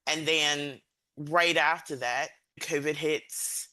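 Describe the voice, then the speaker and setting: A woman's voice: low voice, steady tone, slow pace, bright, forceful tone, no echo, poor audio. A woman hosting a podcast, talking conversationally and reflectively with emphasis as she interviews a guest. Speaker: podcast host.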